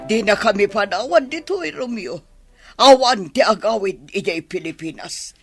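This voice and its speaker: A woman's voice: mid-range voice, lively intonation, normal speed, slightly rough, no echo, soft background music. An actress with an Ilocano Filipino accent playing a disapproving, worried mother of a grown son in a radio drama. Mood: upset, protesting.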